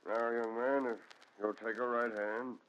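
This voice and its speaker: A man playing a genial small-time judge in an old radio play. He sounds folksy and obliging, with a mid-pitched voice on a dull vintage recording. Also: level tone, a little slow, fairly bright tone, a little hiss or hum, natural voice. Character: genial, obliging male judge officiating a wedding. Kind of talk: 1940s radio drama acting.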